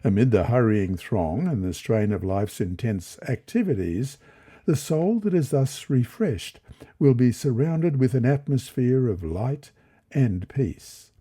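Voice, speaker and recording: Devotional reader: man, very mellow and relaxed, husky edge, deliberate delivery. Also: medium pitch. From Australia. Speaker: lesson reader and presenter. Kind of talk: scripted devotional narration, reading aloud.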